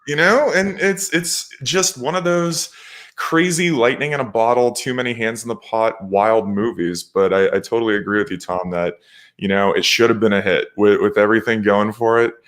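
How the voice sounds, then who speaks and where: A man's voice: on the low side, moderate pace, fairly bright tone. A man chatting casually as a panelist, with an enthusiastic, nostalgic way of talking. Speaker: guest panelist. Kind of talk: casual chat.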